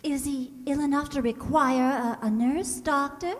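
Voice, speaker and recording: Woman as coy radio-comedy nurse, fairly high voice, composed, dry wit, hall reverb. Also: fairly even intonation, a little slow. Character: composed, dry-witted young nurse minding her patient. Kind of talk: comic radio-play acting. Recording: reverberant hall stage recording.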